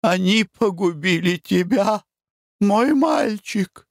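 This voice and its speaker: A man voicing a hysterical, sobbing older noblewoman who wails and shrieks in a very high voice. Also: expressive, slow, no room sound, studio quality, heavily acted. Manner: wailing, shrieking.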